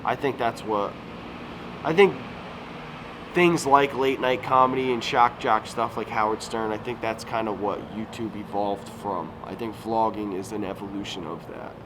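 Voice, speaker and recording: A man's voice: on the low side, moderate pace. Man, thirties, rambling vlogger, lots of "like" and restarts, noisy outdoor recording with passing traffic. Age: 30s. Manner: chatty, rambling, with frequent fillers and self-corrections. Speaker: YouTuber. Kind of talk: casual rambling vlog talk. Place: outdoor recreation area at a resort.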